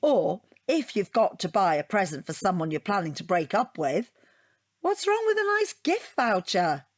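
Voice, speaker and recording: Wry, rambling wounded wife. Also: female voice, mid-range voice, moderate pace. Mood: bitter, wondering. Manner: wry, digressive, deadpan. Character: wounded, rambling wife dissecting her unwanted portrait gift. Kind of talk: audio drama monologue and dialogue.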